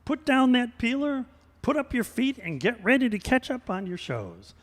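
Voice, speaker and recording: Animated pitchman played by a man, high-voiced, echoing hall. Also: normal speed. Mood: salesy, assured. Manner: emphatic salesman delivery. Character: smooth male radio commercial pitchman, earnest and upbeat. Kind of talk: scripted parody ad read, live radio theater. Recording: reverberant hall stage recording.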